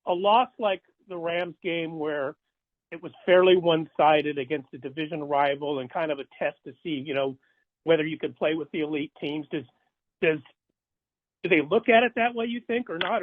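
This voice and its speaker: A man, a sports reporter, asking long, halting questions in a conversational way over a phone conference line. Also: a little high. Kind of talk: press questions. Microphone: phone conference call. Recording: tinny, band-limited phone line.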